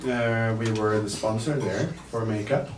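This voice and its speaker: Slow-talking man in an echoey room. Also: fairly low pitch, steady tone. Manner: slow, hesitant, joking, many fillers. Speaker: makeup artist. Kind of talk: live makeup demonstration for press. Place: indoor press event room. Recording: echoey room, mic far off, faint noise.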